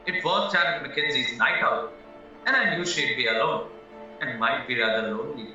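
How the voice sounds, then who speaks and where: A man's voice: mid-range voice, normal speed, sharp tone. A man acting in an audio play as a naive, anxious young husband accused of murder, earnest and rambling as he protests. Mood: earnest, chatty. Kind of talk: stage-style audio drama acting. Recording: very echoey, muffled, distant, music underneath.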